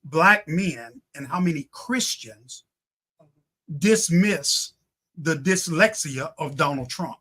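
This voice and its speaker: A man with a notably high, forceful voice argues his points as a guest on a political talk show. His delivery is emphatic and rhetorical, and he repeats phrases to drive them home. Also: lively intonation, takes it slowly, husky. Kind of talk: impassioned political discussion.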